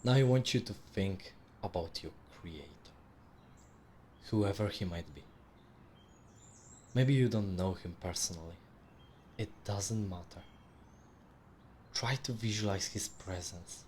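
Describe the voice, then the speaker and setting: A man narrating a guided meditation in a measured, soothing, instructive way with long pauses between phrases. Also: sits low, a little mellow, slight background noise. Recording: clearly audible background ambience with birdsong. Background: birdsong and nature ambience.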